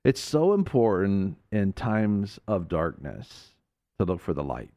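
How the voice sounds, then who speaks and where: Fervent preaching man, lively pitch. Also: a little slow. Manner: fervent, emphatic, swings between loud and hushed. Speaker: radio show host and preacher.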